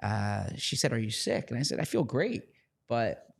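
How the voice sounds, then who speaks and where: A man co-hosting a sports podcast, talking casually in a slightly hoarse voice and often repeating words as he starts a thought. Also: fairly low pitch, lively intonation, moderate pace. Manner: chatty, repeats words when starting sentences. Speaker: podcast co-host.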